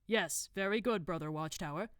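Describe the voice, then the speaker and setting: A woman voicing a pompous, scheming male grand master of a secret order in archaic, drawn-out phrases, in a storybook reading. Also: fairly low pitch, fairly bright tone, clear, resonant tone, dry recording, studio quality, lightly acted. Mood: strained patience.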